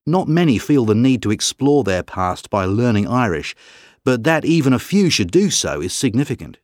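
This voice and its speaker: An actor playing a calm, articulate writer being interviewed in a scripted exam recording. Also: male voice, mid-range voice, moderate pace, dry recording, clean studio sound, close to an everyday voice. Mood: earnest. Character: man, calm thoughtful writer and broadcaster. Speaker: interview guest.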